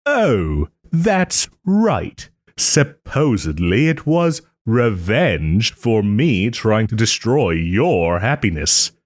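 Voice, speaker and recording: A man playing a proud, sarcastic nobleman in a scripted roleplay audio drama, with haughty, theatrical phrasing and pointed pauses. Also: lowish voice, sing-song, no echo, clean studio sound, exaggerated voice. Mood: offended, mocking. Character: wry, wounded young nobleman lord, sarcastic and proud.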